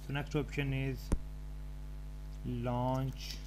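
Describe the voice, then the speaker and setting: A man presenting an unscripted step-by-step tutorial, haltingly thinking aloud as he types. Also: medium pitch, flat delivery. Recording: home recording with clear background noise.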